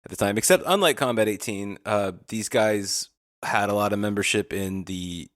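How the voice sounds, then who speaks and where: Male podcast co-host, low register, dry recording, informal and conversational. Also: normal speed, fairly bright tone, no room sound. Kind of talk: casual history discussion.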